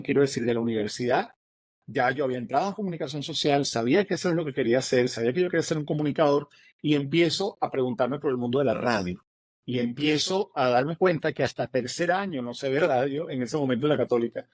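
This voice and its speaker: A middle-aged man with a Venezuelan accent, an author telling his story as an interview guest, animated and acting out what others said. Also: mid-range voice, no echo. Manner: animated, fast, acts out quoted dialogue.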